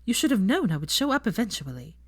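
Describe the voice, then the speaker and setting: A woman's voice: mid-range voice, sing-song, fast talker, husky, pitched above the performer's own voice. A woman voicing a haughty older aristocratic mother in a fan fiction reading, with a cool, clipped, disdainful manner. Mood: haughty reproach.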